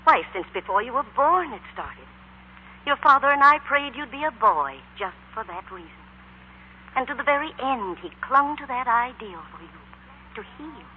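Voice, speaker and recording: An actress playing a gentle, loving middle-aged mother with tender, measured delivery in 1940s radio drama style. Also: high voice, animated. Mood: solemn. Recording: tinny, noisy, humming 1940s radio recording.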